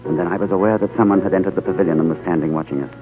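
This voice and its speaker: An actor as a low-voiced, earnest diplomat. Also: male voice, fairly even intonation, rapid-fire, close to an everyday voice. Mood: narrating. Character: thoughtful male British diplomat narrating his tale. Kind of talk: 1930s radio drama acting and narration. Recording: old phone-like radio broadcast, faint music.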